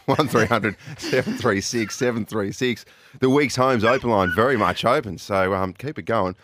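A man's voice: fairly low pitch, moderate pace, noisy background. An Australian man hosting a sports radio show, chatty and upbeat, often laughing and reading out the phone and text numbers. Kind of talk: upbeat breakfast radio banter. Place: radio studio. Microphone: studio microphone.

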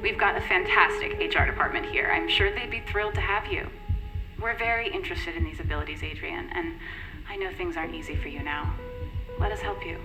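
A woman's voice: fairly low pitch, brisk, over music, close to an everyday voice, poor audio. A woman playing a reassuring, persuasive corporate agent in an audio drama, polished and soothing. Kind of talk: naturalistic audio drama acting.

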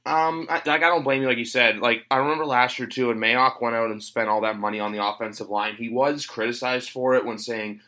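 A man's voice: mid-range voice, steady tone, brisk, hardly any pauses, bright, forceful tone. Guy with a head cold hosting his football podcast, rambly, um-heavy chat. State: has a cold. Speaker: podcast host. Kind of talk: casual sports podcast chat. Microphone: home recording, guest on internet call.

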